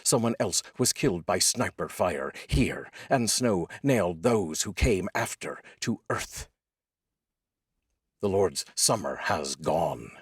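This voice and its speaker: Male poetry host and voice actor, very low husky register, wry and deliberate, recorded close and dry. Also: lively intonation, no echo. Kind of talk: informal spoken intro and poetry reading.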